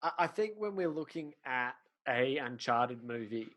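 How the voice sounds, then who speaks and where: Casual blokey man, medium-pitched voice. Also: lively intonation, a little slow, a bit bright. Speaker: podcast co-host discussing films. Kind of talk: casual chat.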